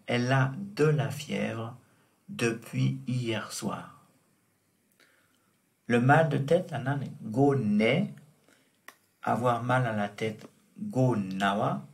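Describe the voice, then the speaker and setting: A man teaching French vocabulary, slow and deliberate, repeating each word and example as he explains it. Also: mid-range voice, gravelly. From Tibet. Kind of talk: vocabulary lesson explained in Tibetan.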